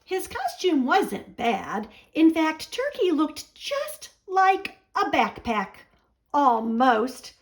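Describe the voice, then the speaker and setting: A woman reading a children's picture book aloud as a storyteller, in an expressive narrating style. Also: high voice, drawn-out words.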